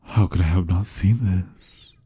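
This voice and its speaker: A deep, very husky male vampire, acted by a man in a romantic audio roleplay. He speaks slowly and intimately, with a soft, mellow tone that slips into whispers. His manner is tender and teasing, full of old-fashioned endearment. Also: steady tone, heavily acted. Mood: teasing, fond. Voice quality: husky, breathy, slipping into whispers. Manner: intimate, soothing, slightly formal endearments.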